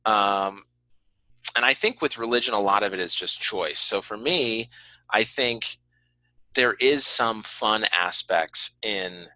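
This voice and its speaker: A man with a slightly low voice and a strikingly bright, forceful edge, recorded close and dry. He talks like a podcast host in casual conversation, earnestly explaining his view with measured qualifications. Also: no room sound, poor audio. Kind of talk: casual conversational interview talk.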